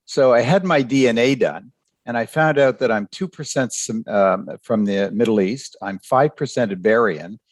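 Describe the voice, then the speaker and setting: Older guy from canada, professor being interviewed, kinda halting, repeats words, dry sound. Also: mid-range voice, no echo. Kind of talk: storytelling interview answers.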